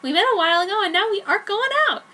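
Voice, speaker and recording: A woman's voice: very high-pitched, expressive, fast, sharp tone, voice pushed up, exaggerated voice. A woman voicing a gushing, breezy teenage girl in love, with exaggerated perkiness, in a story reading. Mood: perky, excited. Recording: noticeable background noise.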